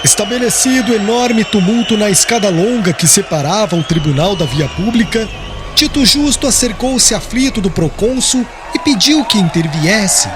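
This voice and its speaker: A man reading scripted audiobook narration as an expressive, solemn storyteller. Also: sits high, moderate pace, a crowd in the background, background noise. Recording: music behind the voice.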